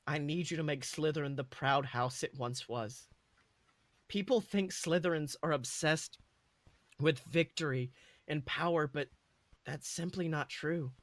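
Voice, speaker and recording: A man voicing a proud, encouraging father through a letter, in a measured and sincere way. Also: on the high side, a bit bright, dry recording, natural voice.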